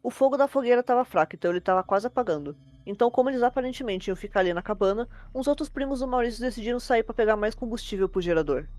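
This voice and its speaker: Female Brazilian storyteller, medium pitch, brisk and fluent, informal creepypasta narration. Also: a little mellow. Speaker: host and storyteller.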